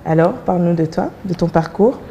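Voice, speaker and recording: A young woman presents a studio interview show. Her voice is fairly low and very soft and dark in tone, with a slight rumbly noise behind it. She introduces the guest and puts questions. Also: brisk, highs cut off. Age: young adult. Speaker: TV talk show host.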